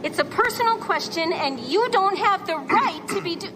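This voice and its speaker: A woman plays a flustered, exasperated traveler in a live comedy sketch. Her voice is very high and swings freely, though delivery is slow. She sounds reluctantly cooperative, over clear recording noise. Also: lively intonation. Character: exasperated, hurried woman traveler held up at customs. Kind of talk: comedy sketch acting before a live audience. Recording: noisy live stage recording.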